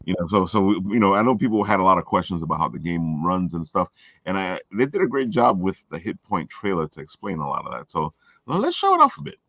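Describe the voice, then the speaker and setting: A man's voice: low-pitched, sing-song, fast, fairly bright tone, dry recording, dull audio. A man hosting a casual chat show, talking informally. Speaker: host.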